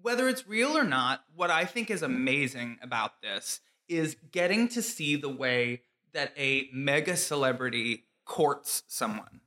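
A man with a bright, clear tone recounts a story in casual chat. He talks animatedly, laughs often and leans on "like" as filler. His pitch sits in a middle range. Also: lively intonation, measured pace, hardly any pauses. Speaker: podcast co-host.

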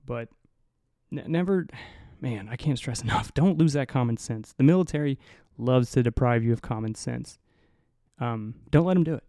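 A young man hosting a solo podcast in a low, mumbly voice, rambling and swearing casually, right up on the mic. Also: normal speed, dark tone, dry recording, studio quality. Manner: rambling, profane, self-mocking. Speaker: podcast host. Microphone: close to the mic.

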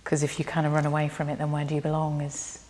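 A woman with a low voice and very little rise and fall talks as an interviewer in a reverberant room. She is reflective and conversational, rephrasing as she thinks aloud. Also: completely flat delivery, fast, darkish tone. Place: reverberant indoor library room.

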